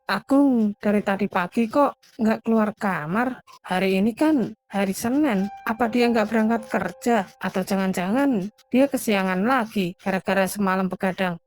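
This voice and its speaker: A woman voicing an anxious, devoted middle-aged mother in an animated drama. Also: mid-range voice, moderate pace, no echo, faint music behind, lightly acted. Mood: concerned, calling. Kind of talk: animated cartoon drama dialogue.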